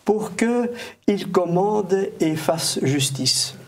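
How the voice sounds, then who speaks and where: A man's voice: sits high, animated, slow. A man who is not a native French speaker, explaining history in a studio discussion, hesitating often with fillers and restarts. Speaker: guest historian.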